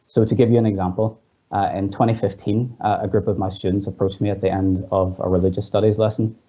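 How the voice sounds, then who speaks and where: A man's voice: lowish voice, little pitch movement, quick pace, highs cut off. A man, a teacher, speaking earnestly on a panel, often starting with "uh". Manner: earnest, with frequent "uh" fillers. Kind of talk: panel contribution.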